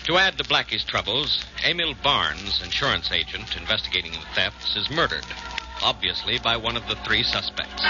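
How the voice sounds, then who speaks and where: A man's voice: on the low side, lively intonation, moderate pace. A man narrating a scripted mystery as a 1940s radio announcer. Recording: muffled old radio broadcast with music.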